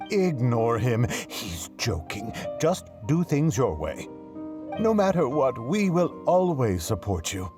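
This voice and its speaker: An actor playing a loyal, blunt young troupe member who talks in an emphatic, sarcastic way. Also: male voice, medium pitch, very animated, takes it slowly, music in the background. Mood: indignant. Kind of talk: video game voice acting.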